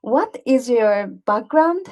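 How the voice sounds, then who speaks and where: A woman's voice: fairly high pitch, expressive, slow pace, darkish tone. A woman calling in to a video meetup, polite and hesitant, choosing her words carefully. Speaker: participant calling in. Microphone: video call.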